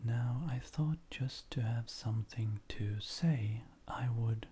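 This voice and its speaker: A man reads in a breathy, near-whispered ASMR voice. His tone is mellow and soft, and he moves slowly and gently through each phrase. A faint noise sits behind him. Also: medium pitch. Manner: gentle, unhurried, measured. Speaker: ASMR creator reading poetry. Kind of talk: soft-spoken ASMR poetry reading.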